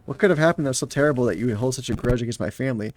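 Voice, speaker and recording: A man's voice: medium pitch, very fast, close to an everyday voice, rough recording. A man voicing a defiant, hot-tempered young woman in a fantasy story game, with an indignant, confrontational manner. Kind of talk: reading a story game aloud.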